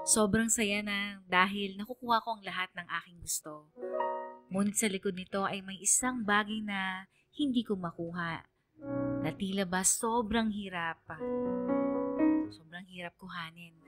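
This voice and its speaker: A woman's voice: medium pitch, natural voice. A woman playing a lonely, wistful female student, narrating a radio drama letter in a plaintive, confiding way.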